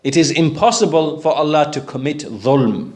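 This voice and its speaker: A man's voice: on the high side, moderate pace, a little mellow. A man teaching in an explanatory religious lecture, speaking didactically and checking that listeners follow with questions.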